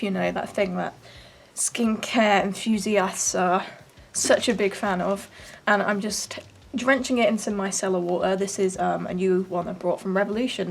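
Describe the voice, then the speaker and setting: Croaky, chatty young woman. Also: mid-range voice, slight background noise. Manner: chatty, self-deprecating, rambling. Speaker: beauty vlogger. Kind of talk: casual get-ready-with-me chat. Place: her bedroom.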